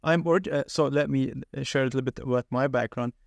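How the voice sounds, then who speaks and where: A man from Lebanon hosts a casual interview chat. He talks hesitantly and fills his pauses. His voice is mid-pitched and sounds dry and close. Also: gravelly, no room sound. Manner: hesitant, with many fillers. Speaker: podcast host.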